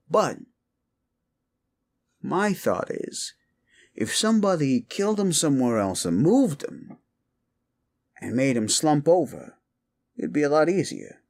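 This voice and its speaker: A man's voice: on the high side, sing-song, slow pace, vocal fry, long pauses, darkish tone, exaggerated voice. A man playing a folksy, rumpled older homicide detective in a roleplay audio, rambling absent-mindedly and probing politely. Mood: musing, speculative. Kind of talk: roleplay ASMR audio drama.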